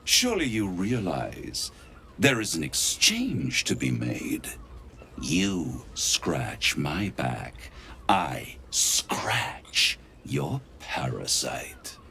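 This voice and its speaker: A man playing a smug, menacing devil dealmaker in a video game, theatrical and taunting, savouring words with dramatic pauses. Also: rumbling low, wildly swooping pitch, vocal fry, bright, forceful tone, a little hiss or hum, heavily acted. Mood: silky, triumphant. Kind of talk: video game character acting.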